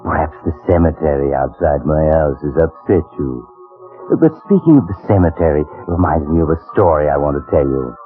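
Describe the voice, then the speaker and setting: A man's voice: a bass voice, brisk. A man playing a courteous, menacing storyteller in 1940s radio horror, theatrical and insinuating. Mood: ominous. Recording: muffled old radio recording, loud organ music.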